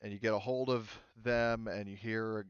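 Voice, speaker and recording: A man running a tabletop game, improvising the narration in a halting, casual way. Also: fairly low pitch, steady tone, clean tone, dry recording, highs cut off. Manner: halting, hesitant, casual delivery. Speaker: game master.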